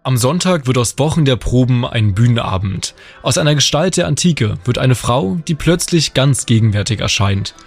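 A man's voice: mid-range voice, lively intonation, moderate pace, vocal fry, few pauses. A man narrating a scripted regional culture news report as its reporter. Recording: voice-over with music underneath. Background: music.

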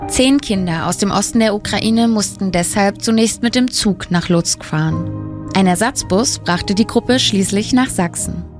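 A woman narrating a news feature as a reporter in a calm, measured scripted voice-over. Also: mid-range voice. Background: soft guitar music.

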